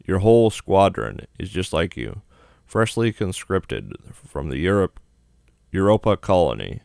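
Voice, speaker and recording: Very deep-voiced man narrating a game. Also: big pitch swings, measured pace, gravelly, a little mellow, no room sound, clean studio sound. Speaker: gaming video host. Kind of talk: reading visual novel narration aloud.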